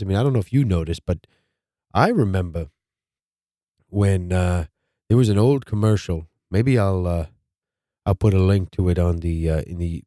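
A man hosting a casual podcast, stuffed up, talking in a rambling, halting way and repeating his words. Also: sits low, dark tone, dry recording, studio quality. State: stuffed up nose. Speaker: podcast host.

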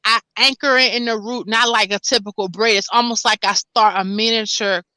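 Bright, high-voiced hairstylist woman. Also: brisk, clean tone, no room sound. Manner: animated storytelling, laughs often. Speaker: podcast host and hairstylist. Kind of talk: casual chat.

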